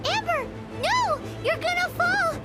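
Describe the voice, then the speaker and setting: A tiny childlike fairy sidekick from a video game, talks in an extremely high squeak. She is excitable and expressive, with loud music behind her. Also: measured pace. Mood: alarmed. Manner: squeaky, excitable, refers to herself by name. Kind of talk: video game companion acting.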